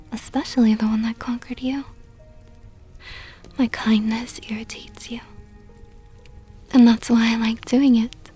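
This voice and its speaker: Breathy, whispering vampire countess, acted by a woman. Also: fairly high pitch, dark tone, full character voice. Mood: teasing, possessive. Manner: slow, teasing, condescendingly tender. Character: seductive, possessive vampire countess toying with her captive. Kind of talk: ASMR roleplay, intimate monologue. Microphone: close microphone.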